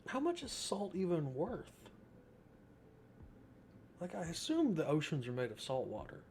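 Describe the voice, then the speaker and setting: A man's voice: on the high side, huge pitch swings, measured pace, a little mellow, slight background noise. A man giving casual gameplay commentary as a streamer, with wry asides. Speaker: game streamer.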